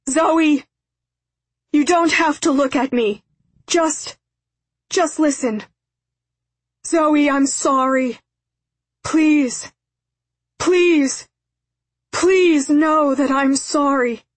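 Woman acting a desperate, breathless pleading father, pitched high. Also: steady tone, normal speed, pauses often, slightly rough, dry recording. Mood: anguished screaming. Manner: pleading, breathless, repetitive outcries. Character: desperate, terrified, tearful father pleading for forgiveness. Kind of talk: audiobook character acting.

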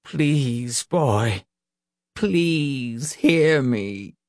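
A man voicing a stern, fanatical older male preacher in an audiobook, declaiming in drawn-out sermon phrases. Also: mid-range voice, darkish tone, no echo, studio quality, close to an everyday voice. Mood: gentle pleading.

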